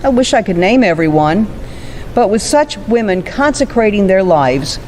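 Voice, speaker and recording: A woman playing an aged, resolute suffrage campaigner who recounts her life in a formal, deliberate oratorical manner. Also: medium pitch, big pitch swings, a little mellow, slight background noise, close to an everyday voice. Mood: proud, dignified. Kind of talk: first-person historical monologue on stage.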